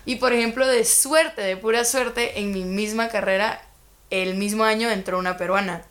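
Young Guatemalan woman, podcast guest, animated casual storyteller. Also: medium pitch, normal speed. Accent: Guatemalan Spanish. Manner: chatty, animated storytelling with quoted asides. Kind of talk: casual chat.

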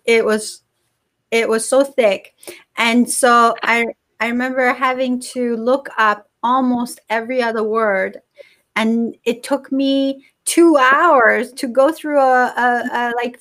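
Eager rambling woman guest, fairly high. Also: fairly even intonation, slow, a bit bright. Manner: eager, rambling, many restarts. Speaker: guest author. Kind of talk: casual interview chat.

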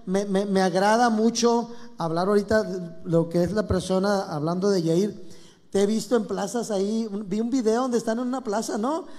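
Man, talk show host, high pitch, exclamatory, echoey hall. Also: steady tone, moderate pace, darkish tone. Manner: exclamatory, eager, sprinkled with religious phrases. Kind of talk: casual live talk show chat.

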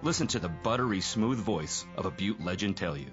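A man's voice: sits low, lively intonation, brisk, fairly bright tone. A man reading a sponsor ad in a jokey, self-mocking way, with faint music under a dull lo-fi sound. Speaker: podcast host reading sponsor ad. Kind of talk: comic sponsor read.

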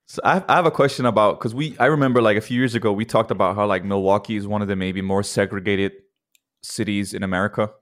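A man interviewing casually as a podcast host, halting and repeating words as he forms his questions. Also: lowish voice, fairly even intonation, fairly bright tone.

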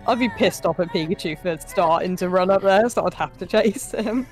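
A woman's voice: medium pitch, a little fast, darkish tone, soft background music. A woman co-hosting a movie podcast, giving blunt, sweary, casual commentary on a film.

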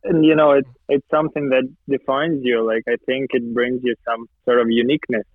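A man's voice: mid-range voice, flat delivery, brisk. Young man from Ukraine, podcast guest, easygoing, tinny call audio. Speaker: guest, business association vice president. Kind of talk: casual interview chat. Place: home in Calgary. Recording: tinny remote call line.

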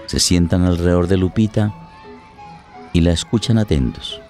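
Deep-voiced man narrating a radio drama. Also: a little mellow, faint music behind. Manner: measured, short deliberate phrases.

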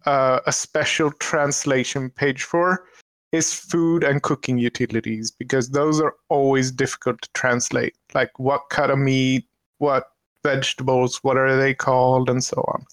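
A Swedish man talks at an unhurried pace, and he often stops to search for his words. His voice comes through dry and close, with no room sound at all. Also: mid-range voice, level tone, a bit bright, no room sound. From Sweden. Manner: slow, hesitant, with many ums and restarts. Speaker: streamer and podcast guest. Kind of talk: casual chat.

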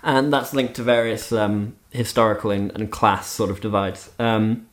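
A man with an English accent who grew up in Switzerland, chatting casually as a podcast co-host, animated and often laughing through his restarts. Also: lowish voice, moderate pace. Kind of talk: casual chat.

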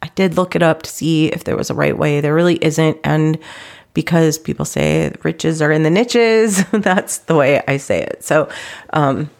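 A woman's voice: low voice, animated, moderate pace, gravelly, darkish tone. A woman hosting a podcast solo in a conversational, explanatory way, sounding congested and slightly stuffy from a cold. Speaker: podcast host.

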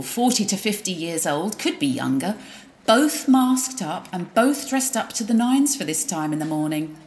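A woman narrating a drama in a wry, gossipy first-person voice. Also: a little high.

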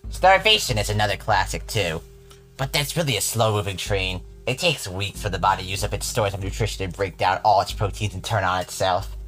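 A man's voice: deep voice, a bit bright, faint music behind. A man putting on a gloating voice in the darkness. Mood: gloating menace. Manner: mocking, slow, relishing cruelty. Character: sinister bodiless voice taunting a trapped girl. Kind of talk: storybook read-aloud voice.

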